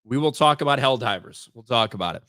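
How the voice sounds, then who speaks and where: Male live-show host, loose talker, close dry mic, mid-pitched. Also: expressive, fast talker, pushed and bright, no room sound. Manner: reads viewer super chats aloud, swears freely. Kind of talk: casual live-stream chat.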